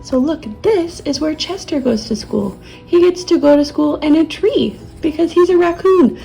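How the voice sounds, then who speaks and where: A woman reads a children's story gently, as a teacher would to her young pupils. Her voice is fairly high and mellow, with husky creak, over soft music. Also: normal speed, low-quality recording. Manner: gentle, child-directed, soothing. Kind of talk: children's storybook read-aloud.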